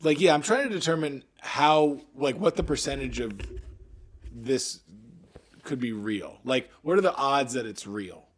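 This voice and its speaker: A man's voice: medium pitch, measured pace. A male comedian from Florida trades wry jokes as a podcast co-host. His voice sits in the middle range, and his delivery is playful and loose. He riffs off his partner in an easy, casual way. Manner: wry, riffing banter.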